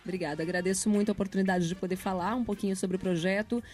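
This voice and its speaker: Woman, Brazilian accent, interview guest, casual talk, mid-range pitch, music behind. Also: fairly even intonation, rapid-fire, a little mellow.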